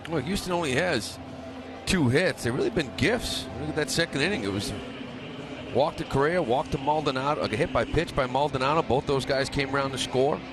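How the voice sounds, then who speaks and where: A man's voice: mid-range voice, brisk. A man giving conversational color analysis during a live radio baseball broadcast. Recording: clear ambient noise on live broadcast. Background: ballpark ambience.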